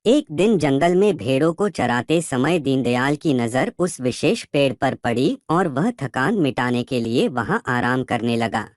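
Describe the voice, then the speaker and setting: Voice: mid-range voice, expressive, a little slow, few pauses, no echo, studio quality. A storyteller narrating a scripted moral tale for children.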